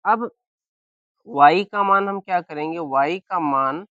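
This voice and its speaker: A man with a sore, hoarse throat teaching a maths lesson step by step, often asking if everyone is following. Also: on the high side, slow, a bit bright, no echo, muffled recording. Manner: keeps asking "clear?" and "any problem?".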